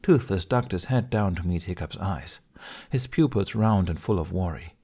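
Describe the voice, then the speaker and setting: A man narrates a fantasy fan fiction story in a steady, expressive reading. His voice sits low, and he keeps a calm storyteller's pace through the action. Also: moderate pace, breathy edge. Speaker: podfic reader. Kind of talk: fanfiction audiobook narration.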